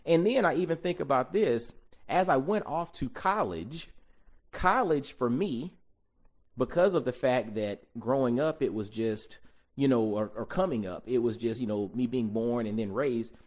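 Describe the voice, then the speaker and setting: Reflective man hosting a fathers' podcast. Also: mid-range voice. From Augusta, Georgia, US. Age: 40s. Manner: conversational, repeats key phrases, slows down to stress points. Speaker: podcast host. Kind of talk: reflective solo podcast monologue.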